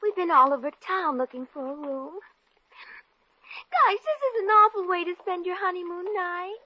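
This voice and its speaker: A woman's voice: very high-pitched, normal speed, no echo. A woman playing a sweet, tired young newlywed bride, girlish and pleading, in 1950s radio comedy. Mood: tired, plaintive. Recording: dull, lo-fi old radio recording.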